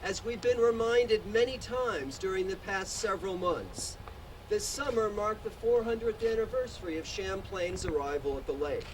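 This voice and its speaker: A man in his sixties, a museum director, giving a measured commemorative speech outdoors, reading dates and historical detail in a loud voice. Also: high voice. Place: outdoors at a podium in a park. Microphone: podium microphone, distant. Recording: distant podium mic, outdoor traffic hum.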